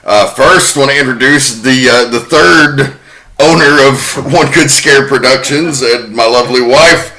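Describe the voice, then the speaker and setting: A man's voice: medium pitch, a little slow, bright, forceful tone, low-quality recording. A man hosting a podcast, chatting casually and telling a story with the odd swear word thrown in. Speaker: podcast host.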